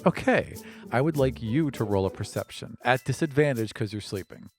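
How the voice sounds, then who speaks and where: A man's voice: medium pitch, lively intonation, moderate pace, faint music behind. A man narrating as game master of a tabletop role-playing game in a casual, conversational way.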